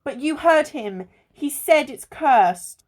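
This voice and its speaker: A woman's voice: high voice, animated, slow, a little mellow. A woman acting a wary, bossy, teasing young adventurer in an amateur radio play. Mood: smug, wary.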